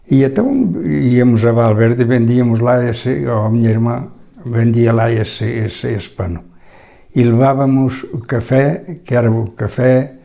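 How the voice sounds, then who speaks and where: An older Portuguese man telling stories from the past in plain casual talk. His voice is gravelly and mellow, and he often breaks off and starts over while he looks for words. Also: medium pitch. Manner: halting, searching for words, many restarts. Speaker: local interviewee. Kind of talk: recalling memories in casual talk.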